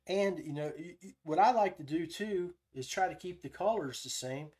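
A man's voice: high-pitched, moderate pace, a little mellow. A man hosting a casual live tutorial, thinking aloud as he demonstrates each step. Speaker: podcast host giving a software tutorial.

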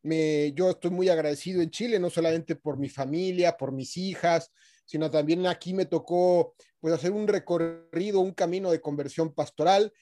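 A man's voice: on the high side, normal speed, dark tone. A man in his forties with a Mexican accent, a guest answering interview questions earnestly and fluently. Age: 40s.

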